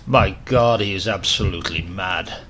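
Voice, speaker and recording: A man's voice: sits low, moderate pace, noisy background, pitched below the performer's own voice, natural voice. A man voicing a horrified, agitated young male doctor who protests urgently in a horror story.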